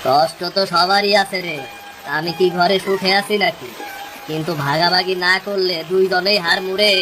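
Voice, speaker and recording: A woman's voice: on the low side, steady tone, moderate pace, over music, close to an everyday voice, low-quality recording. A woman voicing an honest, hurt older-brother fisherman in a cartoon, earnest and admonishing, with a rural Bangladeshi Bengali accent. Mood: weary. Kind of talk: cartoon story dialogue.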